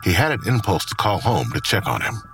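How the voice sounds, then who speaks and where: A low-voiced male narrator for a grim crime audio drama. His reading moves expressively up and down over a music bed. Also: big pitch swings, brisk, a bit bright. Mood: somber narration.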